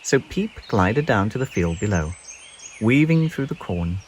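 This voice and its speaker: Unhurried, animated male storybook reader. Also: on the low side, noisy background. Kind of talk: expressive scripted storybook narration. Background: birdsong and insect sounds.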